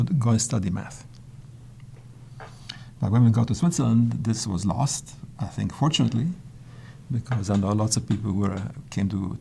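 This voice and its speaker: A man's voice: on the low side, creaky, warm tone, a little hiss or hum. An older man from Poland, whose first language is Polish, recalling his early life in a hesitant interview manner with many restarts. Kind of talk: reflective interview answers.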